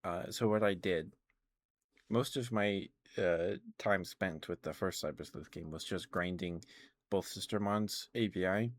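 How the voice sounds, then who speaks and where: Male ASMR streamer, low register, casual and rambling, hesitant delivery with frequent self-corrections. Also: a little slow, dry recording. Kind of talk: casual ASMR chat about video games.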